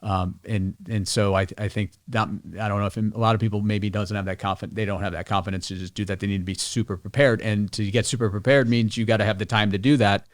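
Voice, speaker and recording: Fast-talking man, casual and low-voiced. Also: fairly even intonation, dry recording. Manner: rambling, informal, slangy. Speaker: podcast guest. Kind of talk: casual podcast chat.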